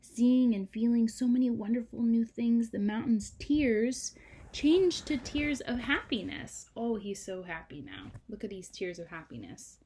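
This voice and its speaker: A woman reading a children's storybook aloud like a teacher, with dramatic emphasis, hushed moments and chatty asides to young listeners. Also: fairly high pitch, lively intonation, measured pace, a little hiss or hum, poor audio.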